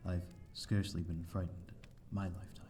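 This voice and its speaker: A man's voice: sits low, flat delivery, moderate pace, over music, lightly acted. A man playing a lonely, refined vampire lord with formal, wistful speech in an ASMR audio drama. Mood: gently reassuring. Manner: formal, wistful, archaic wording.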